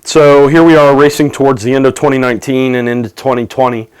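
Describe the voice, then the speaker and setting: A man with a slight cold speaks to camera in a casual video, his voice carrying a touch of gravel. He talks in halting stretches, filling pauses with ums and restarting sentences as he explains technology for business. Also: medium pitch, level tone, rough recording. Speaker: tech commentator. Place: office under renovation.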